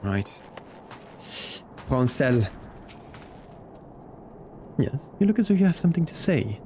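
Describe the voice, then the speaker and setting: A young Flemish man, a native Dutch speaker, streaming a game and chatting with viewers, animated and laughing often. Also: takes it slowly, a little hiss or hum. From Belgium. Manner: animated, laughs often, excitable pitch jumps. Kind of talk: casual live-stream chat reading comments.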